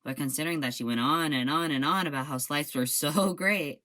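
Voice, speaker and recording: A woman's voice: sits low, brisk, sharp tone. A woman playing a loyal young male ship officer who talks in a hesitant, dutiful way in an amateur audio drama.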